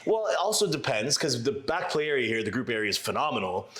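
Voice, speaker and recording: A man's voice: medium pitch, very animated, fast talker, fairly bright tone. A man hosting a casual talk show, laid-back and self-deprecating, laughing often as he chats with his guest. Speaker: podcast host. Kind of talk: casual chat. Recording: echoey room.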